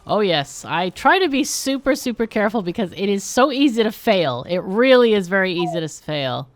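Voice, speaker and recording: A woman's voice: medium pitch, very animated, brisk, no echo. A woman hosting a game livestream, chatting casually and thinking aloud as she plays. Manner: thinks aloud while playing. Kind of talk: casual gameplay chat.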